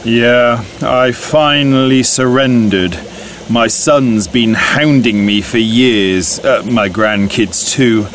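A man's voice: medium pitch, slow, a bit bright. An actor playing a tired, grumbling man in his late sixties in an audio drama, wry and hesitant. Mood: grudging resignation. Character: tired, grumbling 68-year-old man, wary of technology.

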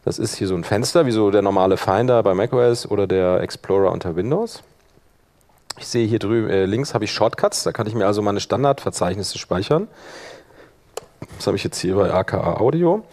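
A middle-aged man giving an informal software tutorial, conversational and thinking aloud as he demonstrates. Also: on the low side, animated, fairly quick. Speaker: workshop presenter. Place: indoor room at a workshop, in front of an audience.

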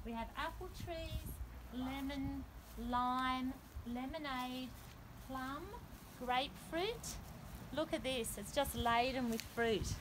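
A woman's voice: sits high, slow. A young Australian woman showing viewers around a house and garden, listing features in short, admiring phrases while walking. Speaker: house tour presenter. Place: house and garden, indoors and outdoors. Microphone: handheld phone camera. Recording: echoey rooms, noisy outdoors.

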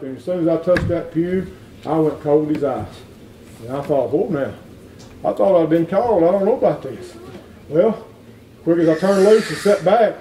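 A middle-aged man preaching a folksy revival sermon in a church, climbing into shouts. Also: a little high, recorded from a distance, a little hiss or hum. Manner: folksy, plain-spoken, rises to shouting. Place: wood-paneled church sanctuary. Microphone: far room camera. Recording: echoey church heard from afar.

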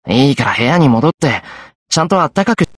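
A man's voice: mid-range voice, sing-song, a little fast, no room sound, clean studio sound. A man playing an earnest, protective and stubborn young man in an anime visual novel, blunt and serious in manner. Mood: stern, concerned.

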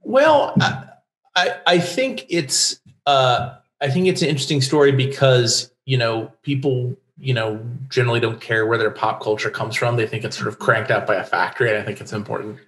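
A middle-aged man speaking casually as an interview guest, with halting, thoughtful phrasing. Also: medium pitch, a bit bright. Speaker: guest writer. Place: book-lined room at home, indoors. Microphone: shared desktop microphone on video call. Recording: roomy home recording.

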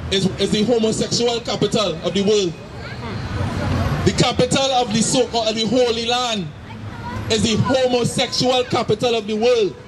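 High-voiced Trinidadian street preacher. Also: male voice, fairly even intonation, moderate pace. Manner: forceful, repetitive, shouting at times. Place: city street outdoors, crowd around. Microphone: handheld mic through a loudspeaker. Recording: noisy street with crowd.

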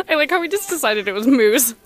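A young woman chatting casually as she films her own shopping vlog in a large store, chatty and quick to laugh. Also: sits high, animated. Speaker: vlogger. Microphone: handheld camera.

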